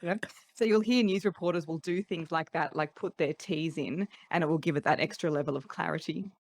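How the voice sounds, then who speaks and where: Articulate Australian woman, lowish voice. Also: a little fast. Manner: articulate, laughs between points. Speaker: voice coach guest. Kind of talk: casual interview chat.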